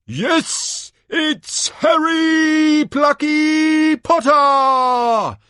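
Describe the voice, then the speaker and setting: A man voicing a flamboyant, booming tournament announcer in an audiobook drama, theatrical and showy with drawn-out words. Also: very animated, a bit bright, dry recording, clean studio sound, heavily acted. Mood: exuberant, hyping.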